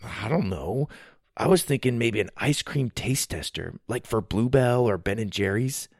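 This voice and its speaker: Man voicing an excitable young boy, boastful, kung fu fan, bouncy voice, storybook. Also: medium pitch, moderate pace, dry recording, clean studio sound. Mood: eager. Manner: eager, boastful.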